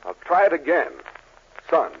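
A pompous, fussy middle-aged psychiatrist with a swooping, lively delivery. He talks in a clinical, lofty way. The sound is a muffled, faintly hissy 1940s radio recording. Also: fairly high pitch, moderate pace. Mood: exasperated. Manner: pompous, clinical, growing exasperated. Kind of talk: 1940s radio comedy acting. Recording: scratchy 1940s radio broadcast with hiss.

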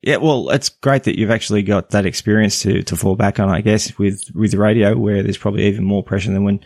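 Male host, rambling casual interviewer, gravelly and a bit low. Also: steady tone, brisk, darkish tone, no echo, studio quality. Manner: rambling, hesitant, with many restarts. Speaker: podcast host.

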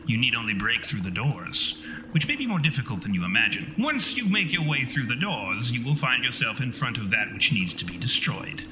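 A man's voice: medium pitch, sing-song, brisk, music in the background, lightly acted. A man voicing the vengeful ghost of a conceited, long-winded parapsychologist in a tabletop horror game, pompous and theatrical in formal speech. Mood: ominous. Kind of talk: tabletop horror role-play. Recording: reverberant hall sound, noisy ambience behind.

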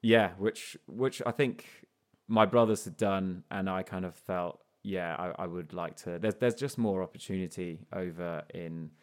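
A man's voice: low voice, unhurried. A man, an artist guest, talking in a loose, reflective and easygoing way with frequent restarts. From grew up in Portugal, then England. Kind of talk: casual conversational interview.

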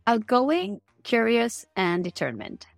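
A woman's voice: on the high side, very animated, slow, vocal fry, no echo, soft background music. A woman from Norway with a Norwegian accent, answering interview questions in a candid, chatty way. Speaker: interview guest.

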